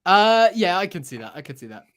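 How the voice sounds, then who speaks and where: Slightly high pitched guy hosting a podcast, chatting casually, kinda hesitant, thinking out loud. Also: sing-song, fairly quick. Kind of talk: casual pop-culture discussion.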